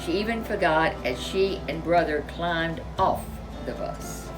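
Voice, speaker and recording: A woman storyteller reading a children's picture book aloud, expressively, with drawn-out emphasis and chatty asides. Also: low-pitched, music in the background, poor audio. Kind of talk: expressive read-aloud narration for young children.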